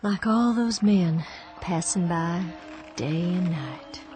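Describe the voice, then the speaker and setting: A woman's voice: fairly low pitch, takes it slowly. A woman voicing a grieving woman weakened by fever, wistful and fragile, often sinking into whispers. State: feverish and weak. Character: grieving Southern woman waiting for her husband. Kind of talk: radio drama acting. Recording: radio drama with music behind.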